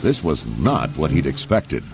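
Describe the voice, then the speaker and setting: A man's voice: very deep voice, sing-song, a little slow, noisy background. A man narrating a scripted storybook read-along in a dramatic storytelling manner. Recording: loud music under narration.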